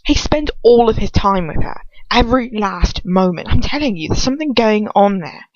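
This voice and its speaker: A woman's voice: mid-range voice, animated, normal speed, dry recording, dull audio, lightly acted. A woman voicing a suspicious, rebellious male henchman who mutters urgently and insistently in an audiobook reading.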